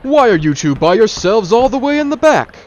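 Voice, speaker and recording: A man's voice: high voice, sing-song, fairly quick, dull audio, slight background noise. A man playing a cheerful, eccentric village leader in a radio drama, with a grand, sunny, theatrical manner. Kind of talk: fan radio drama acting.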